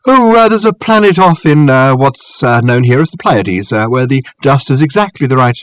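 A man voicing an eccentric, amiable elderly academic in an audiobook, rambling and halting with many hesitant ums. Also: mid-range voice, animated, lightly acted. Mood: cheerful, airy. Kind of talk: audiobook character acting.

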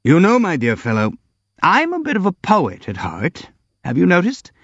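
Blustering elderly general, grand and self-pitying, raised pitch, dry and muffled recording; male reader voicing. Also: wildly swooping pitch, normal speed, husky, no echo, heavily acted. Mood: pompous. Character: elderly blustering boastful army general, pompous.

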